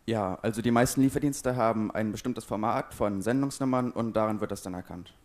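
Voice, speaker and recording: Teen boy with lowish voice, plain answers into handheld mic. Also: brisk, darkish tone. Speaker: youth hackathon participant. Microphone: handheld mic held by interviewer.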